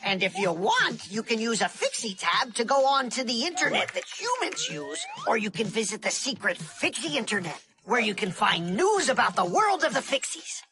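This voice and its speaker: A woman's voice: fairly high pitch, wildly swooping pitch, moderate pace, few pauses, crowd noise. A woman voicing a cheerful young sprite who explains things in a children's cartoon, instructive and enthusiastic. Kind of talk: scripted children's cartoon narration.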